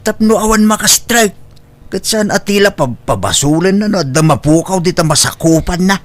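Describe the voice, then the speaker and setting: A fairly high male voice, close and dry, with an Ilocano accent. A man acting a mild-mannered villager who defers and appeases in a comedy drama. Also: lively intonation, moderate pace, dry recording. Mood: chatty.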